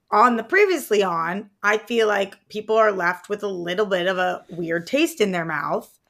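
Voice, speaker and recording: Young adult female co-host, mid-pitched voice, chatty, halting delivery full of restarts. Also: a bit bright. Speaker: podcast co-host. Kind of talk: casual pop-culture chat.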